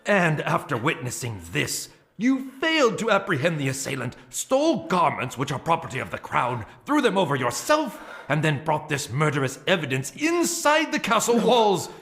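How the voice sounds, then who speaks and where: An actor playing a stern, formal royal adviser in an audio drama, speaking in an ornate courtly manner. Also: male voice, on the high side, huge pitch swings, moderate pace, few pauses, fairly bright tone, heavily acted. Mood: indignant. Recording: clear music behind the voice.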